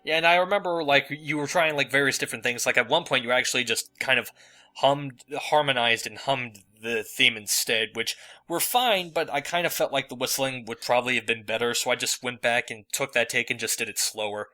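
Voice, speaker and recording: A man's voice: medium pitch, brisk, sharp tone. A man giving a casual, talkative audio commentary as host, analysing at length in a roundabout way. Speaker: host, fan dub producer and sound mixer. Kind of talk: casual audio commentary over a fan dub. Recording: music behind the voice. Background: dubbed video soundtrack with music.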